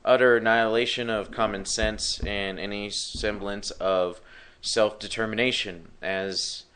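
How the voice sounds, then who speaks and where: A man's voice: lowish voice, steady tone, a little slow, pushed and bright, clean tone. A man hosting a live talk show who has partly lost his voice, speaking in a rambling way with many fillers and restarts. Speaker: podcast host.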